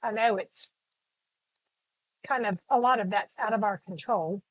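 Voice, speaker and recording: A woman's voice: mid-range voice, a little slow, no echo, low-quality recording. A middle-aged woman with a Texan accent, chatting casually with viewers while she paints on a live stream recorded on her phone. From Texas. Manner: chatty, rambling, greets viewers by name. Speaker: art instructor live-streaming. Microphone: phone camera.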